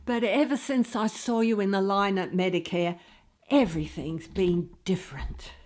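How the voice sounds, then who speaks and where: A woman's voice: medium pitch, fairly even intonation, moderate pace, darkish tone, lightly acted. A woman playing a scatterbrained, fussy older radio actress in a comedy, talking in a flustered, rambling way. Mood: melodramatic, lovestruck. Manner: flustered, rambling, over-explaining. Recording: roomy echo.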